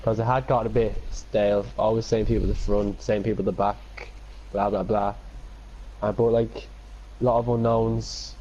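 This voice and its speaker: A man's voice: lowish voice, little pitch movement, moderate pace, warm tone. An Irish man co-hosting a podcast with casual banter, heard through a dull, slightly noisy microphone. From Ireland. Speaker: podcast co-host. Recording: muffled dull mic with background noise.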